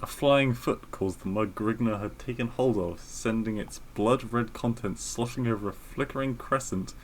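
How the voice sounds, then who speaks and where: A man's voice: on the low side, normal speed, a little hiss or hum, poor audio. A man co-hosting a podcast, chatting casually and reading passages of a story aloud. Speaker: podcast co-host.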